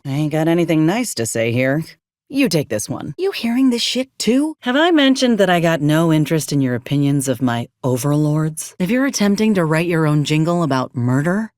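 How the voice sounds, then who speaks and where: An actress playing a tough, wry frontier combat medic in a video game, with a folksy, slangy, sardonic way of talking. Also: female voice, sits low, no room sound, studio quality. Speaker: video game companion character. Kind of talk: game voice acting, combat barks and dialogue.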